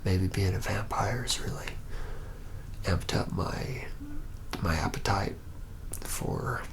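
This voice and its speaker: A young man playing a reluctant, awkward young vampire in an ASMR roleplay, murmuring haltingly and often close to a whisper. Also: sits low, creaky, heavily acted. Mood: hesitant, uneasy recollection. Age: young adult.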